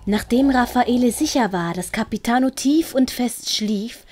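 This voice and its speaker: A woman's voice: a little high, a little mellow. A woman narrating a scripted story as an audiobook storyteller. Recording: music under the narration. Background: prominent plucked-string music.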